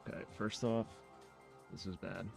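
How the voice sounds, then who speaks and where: Man, lowish creaky voice, casual sweary muttering, livestream host, clear background music. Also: steady tone, unhurried. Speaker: streamer drawing live. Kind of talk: casual chat, thinking aloud while drawing.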